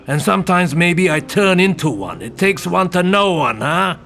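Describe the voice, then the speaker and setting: Gruff blunt police detective in a radio play, gravelly, intense, really animated delivery. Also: male voice, high-pitched, moderate pace, soft background music. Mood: intense, persuading. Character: gruff, driven, foul-mouthed senior male police detective. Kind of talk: audio drama dialogue.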